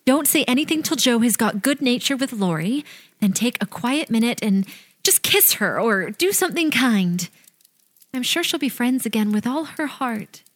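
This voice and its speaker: Actress as young, caring big sister, animated melody in a dry close recording, softly persuasive, audio drama. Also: female voice, fairly high pitch, moderate pace, no echo. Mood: gentle, advising. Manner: gentle, coaxing. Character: kind, advising older sister, young woman. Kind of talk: audio play acting.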